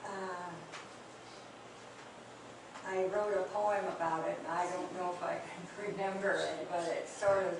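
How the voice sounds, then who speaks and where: A woman's voice: on the low side, steady tone, unhurried, pauses often. Older woman fondly reminiscing, echoey room. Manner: hesitant, many fillers, gentle laughs. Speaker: speaker recalling family memories. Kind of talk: reminiscing oral history talk. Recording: echoey room, distant mic, noisy.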